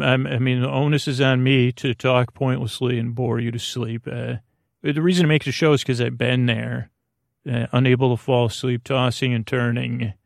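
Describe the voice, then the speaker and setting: A man speaks in a creaky, mid-pitched voice with plenty of fry, lulling the listener like a bedtime host. He meanders, restarts and trails into tangents in a soothing way. The sound is very dry, with no room around it. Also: no room sound. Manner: meandering, halting, repeats and trails off. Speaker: podcast host. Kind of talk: rambling bedtime sleep podcast intro.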